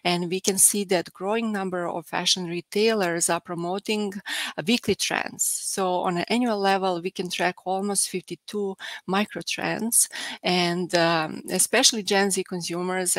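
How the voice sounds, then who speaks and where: A woman's voice: fairly low pitch, fairly even intonation, moderate pace, no room sound. A young woman from Serbia with a Serbian accent gives an academic lecture over a video call, hesitant with many filler sounds. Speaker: guest lecturer. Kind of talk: online academic talk. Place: home room by video call. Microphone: headset.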